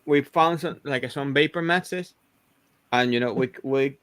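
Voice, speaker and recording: A man chats casually on a livestream video call. He talks haltingly, stacking fillers and restarting sentences. His voice sits at an average pitch and sounds close and dry. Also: fairly bright tone, dry recording. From Venezuela. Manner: hesitant, many restarts and "like" fillers. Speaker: host of a reselling livestream.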